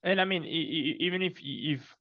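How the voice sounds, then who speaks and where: A man answering conversationally as a podcast guest, halting and repeating words as he starts phrases. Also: on the high side, slightly rough, no echo, dull audio. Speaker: podcast guest, fiction co-author.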